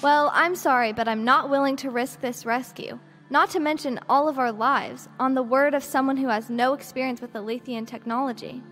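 A woman's voice: high voice, steady tone, moderate pace, hardly any pauses, fairly bright tone, in a large hall, faint music behind. A woman in an audio drama playing a cautious, stubborn shuttle engineer, exacting and argumentative. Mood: firm, exasperated.

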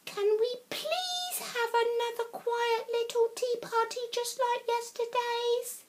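Squeaky, pleading little lion cub. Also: female voice, slow pace. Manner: wheedling, childlike, excitable.

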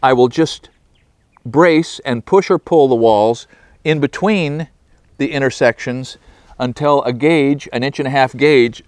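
A man's voice: medium pitch, smooth, dry recording. Older male carpenter, plainspoken, outdoors on a lapel mic, dry sound. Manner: plainspoken, practical. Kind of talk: explaining on the job site. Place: outdoor construction site.

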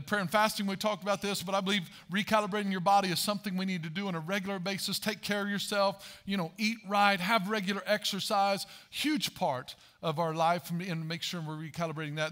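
A man's voice: sits high, pushed and bright. A man in his forties preaching a sermon on a large church stage, asking the audience questions and building emphasis, picked up by a headset mic. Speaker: pastor.

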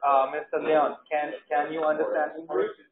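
Very loud crowd chatter surrounds a man on a tinny, echoey phone line. His voice is fairly high, and he makes his call-centre sales call haltingly. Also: little pitch movement. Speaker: call-centre investment agent. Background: many loud co-workers talking.

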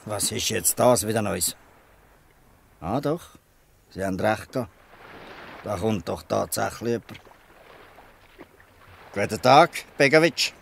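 A man playing a shrewd, gruff old fisherman in a Swiss German dialect radio play, questioning people with pointed irony. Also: on the low side, lively intonation, unhurried, long pauses, a bit bright, a little hiss or hum, full character voice. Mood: wry, guarded. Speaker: actor in an audio drama.

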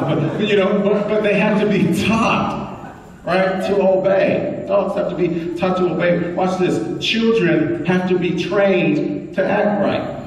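A middle-aged man preaching a lively sermon, repeating phrases and prompting his congregation. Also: high voice, a little hiss or hum. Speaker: pastor. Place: large bright church hall indoors. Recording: echoey hall recorded from far off.

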